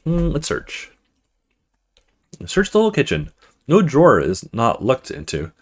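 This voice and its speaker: A man's voice: mid-range voice, wildly swooping pitch, unhurried. A man hosting a let's play, reading a horror visual novel aloud in a dramatic storytelling way with casual asides.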